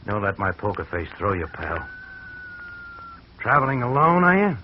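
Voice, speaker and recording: An actor playing a wary escaped convict in a 1940s radio drama, suspicious and clipped. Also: male voice, fairly low pitch, very animated, normal speed, a little hiss or hum. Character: wary male escaped convict posing as farmhand. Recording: muffled old radio recording.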